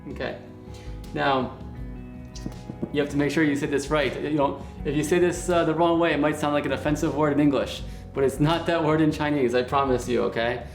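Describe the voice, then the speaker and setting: A man teaching a beginner Mandarin lesson at a whiteboard, upbeat and encouraging, often checking in with "okay?" and slowly repeating words for learners. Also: on the high side, steady tone, faint music behind.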